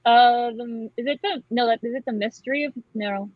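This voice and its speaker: A woman with a somewhat high voice talks in a loose, joking, conversational way. The recording is dry, with no room sound, and a little dull and lo-fi. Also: normal speed, no echo. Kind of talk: casual, joking chat. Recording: dull, dry online audio.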